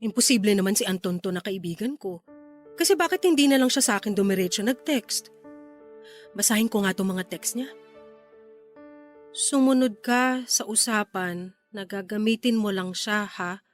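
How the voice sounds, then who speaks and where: A woman's voice: mid-range voice, normal speed, long pauses, faint music behind, lightly acted. A woman playing a hurt, accusatory betrayed lover in an emotional radio drama. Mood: suspicious. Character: betrayed female lover, hurt, furious, confrontational.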